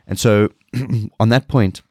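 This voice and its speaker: A man in his thirties hosting a solo podcast, sounding nasal from a cold and talking in a low-energy, rambling way. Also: slow, darkish tone, dry recording, studio quality. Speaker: podcast host.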